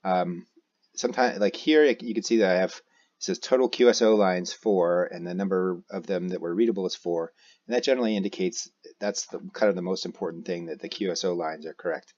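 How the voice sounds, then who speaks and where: An American man giving an unscripted software walkthrough, hesitantly thinking aloud as he goes. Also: low-pitched, a little fast, muffled recording. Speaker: tutorial presenter, amateur radio operator. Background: keyboard typing and mouse clicks.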